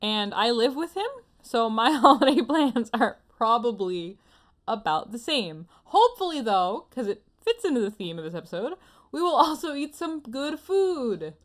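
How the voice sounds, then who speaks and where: Giggly woman hosting, higher-pitched voice. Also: very animated, moderate pace. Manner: giggly, playful. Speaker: podcast host. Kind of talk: casual chat on an anime podcast.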